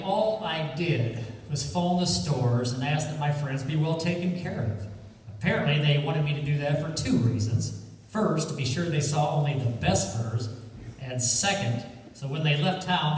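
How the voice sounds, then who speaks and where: A man playing a cocky, wisecracking amateur sleuth, breezy even when apologizing, in an old-time radio detective drama. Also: a little high, natural voice. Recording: echoey hall, distant, faint noise.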